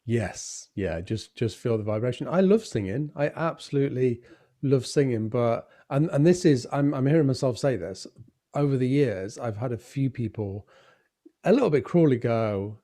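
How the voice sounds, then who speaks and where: A man's voice: mid-range voice, expressive, normal speed. A man hosting a podcast, listening agreeably and chiming in with short replies. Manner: warm, agreeable listener, many short affirmations. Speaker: podcast host. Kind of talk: casual conversation.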